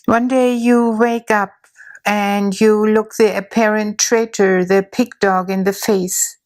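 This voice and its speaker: A woman narrating a guided imagery story in a slow, soothing way, with long pauses. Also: mid-range voice, flat delivery, darkish tone.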